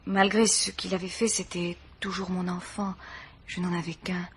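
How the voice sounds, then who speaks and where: Woman acting a low-voiced, dignified grieving mother. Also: little pitch movement, slight background noise, lightly acted. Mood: sorrowful, restrained. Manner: formal, measured, sorrowful. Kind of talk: French radio mystery drama acting.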